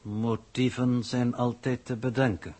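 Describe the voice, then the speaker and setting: An imperturbable male expedition coordinator in 1960s radio drama. He speaks in stiff, precise, formal phrases with a middle-pitched, husky voice. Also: flat delivery, measured pace, a little mellow. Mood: unruffled, formal.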